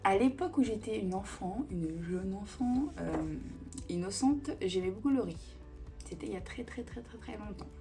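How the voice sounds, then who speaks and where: A woman's voice: medium pitch, expressive, moderate pace, over music. A woman in her thirties answering viewer questions in a casual beauty vlog, chatty and digressive with many fillers. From Italian family roots. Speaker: beauty vlogger. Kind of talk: casual Q&A chat.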